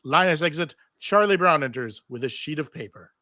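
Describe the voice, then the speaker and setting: Bright-voiced man narrating stage directions. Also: on the high side, lively intonation, fairly quick, no room sound, clean studio sound. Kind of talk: scripted narration at a live table read.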